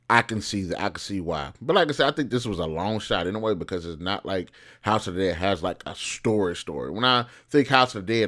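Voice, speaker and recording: A man with a fairly low voice talks like the host of a review show. His commentary is casual and opinionated, in colloquial phrasing. He often circles back to repeat or reword what he just said. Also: fairly bright tone. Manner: informal, colloquial, repeats and rephrases himself.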